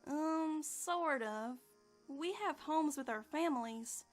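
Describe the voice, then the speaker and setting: An earnest, friendly young cartoon filly, voiced by a woman. The voice is high and youthful, with music playing behind it. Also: slow. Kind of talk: fan-made cartoon dub.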